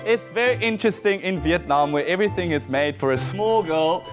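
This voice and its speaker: A man's voice: high voice, animated, fairly quick, over music. Chatty young man with an Afrikaans accent. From South Africa. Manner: chatty, laughs often, many "like" fillers. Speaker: guest. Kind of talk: casual interview chat.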